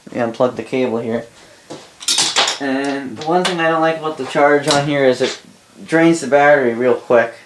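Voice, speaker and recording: A Canadian man casually demonstrating a gadget as a reviewer, halting, rambling and correcting himself as he goes. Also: medium pitch, very noisy. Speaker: product reviewer. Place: small indoor room at a desk.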